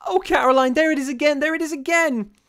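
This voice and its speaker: A man's voice: high-pitched, lively intonation, fairly quick, pitched above the performer's own voice. A man voicing a terrified, stubbornly fearful woman in a story, with a frenzied, wailing manner. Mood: frantic horror.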